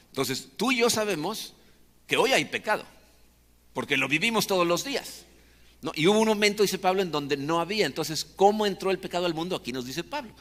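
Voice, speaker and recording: A man's voice: on the high side, a bit bright. A man with a Mexican accent preaching a conversational, explanatory sermon, often checking for agreement with short tag questions. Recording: long hall reverb.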